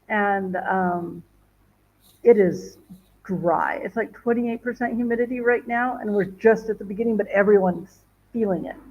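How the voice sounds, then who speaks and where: A woman's voice: mid-range voice, lo-fi sound. A woman vlogger chatting casually to viewers from her home, rambling with many fillers and restarts. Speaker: travel vlogger. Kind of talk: casual livestream chat. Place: home in northern Ghana.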